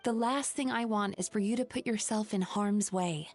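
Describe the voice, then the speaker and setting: A woman plays a young therapist in a game with a mid-pitched voice. She sounds gentle and dutiful, choosing her words in an earnest, polite, measured way. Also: loud music behind the voice, natural voice. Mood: earnest concern. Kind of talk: animated video game voice acting.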